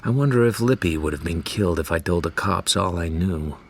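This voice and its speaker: A hardboiled private eye in a radio detective drama. His voice is on the low side, dry and sardonic, with clipped world-weary wit. A little noise sits behind him. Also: expressive, brisk, vocal fry. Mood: brooding. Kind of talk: hardboiled first-person radio drama narration and dialogue.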